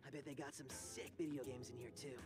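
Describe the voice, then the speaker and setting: An actor voicing a playful, joking teenage turtle hero who talks in surfer slang. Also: male voice, mid-range voice, steady tone, fast talker, over loud music, lightly acted. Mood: excited.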